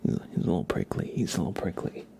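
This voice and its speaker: A man hosting an ASMR livestream, chatting playfully with viewers in a soft, whispery, breathy voice with mock-serious teasing. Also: quick pace, slight background noise.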